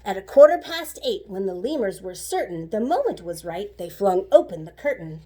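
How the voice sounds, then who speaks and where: A woman reading a children's story aloud like a teacher, animated and rhythmic with the rhymes. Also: mid-range voice, moderate pace, husky. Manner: animated, rhythmic rhyming delivery.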